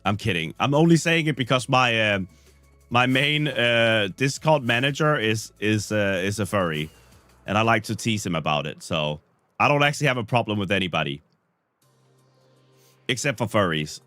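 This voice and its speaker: A man's voice: fairly low pitch, lively intonation, moderate pace, sharp tone, no room sound, faint music behind. A man with a Danish accent, joking and rambling to his chat while he plays a game, a little under the weather. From Denmark. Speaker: streamer. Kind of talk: live-stream chat while playing a game.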